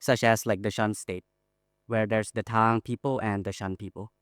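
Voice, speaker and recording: A man's voice: lowish voice, fairly even intonation, moderate pace, fairly bright tone, smooth, dry recording, clean studio sound. A young man from Thailand politely asking a question at a seminar. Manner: polite, apologetic. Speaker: audience member asking a question. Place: seminar room.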